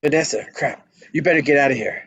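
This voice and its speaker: Laid-back, sardonic married guy, read by a male actor, creaky and husky, wry drama delivery. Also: on the high side, fast, a bit bright, dry recording. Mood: flustered. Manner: casual, wry. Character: laid-back, sardonic married man, expectant adoptive father. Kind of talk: live script table read.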